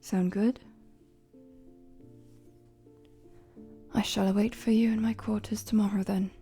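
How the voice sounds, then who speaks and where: A woman playing a gentle, playful young queen in an intimate audio roleplay, speaking politely and formally in a soft, breathy, often near-whispered voice. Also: medium pitch, flat delivery, fairly quick, soft background music, close to an everyday voice. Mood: reassuring, playful.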